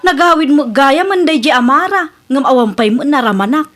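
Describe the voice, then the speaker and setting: A sharp-tongued, resentful wife in an Ilocano Filipino radio comedy drama. She has a very high voice and a scolding, complaining delivery. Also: animated, fairly quick. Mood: grumbling.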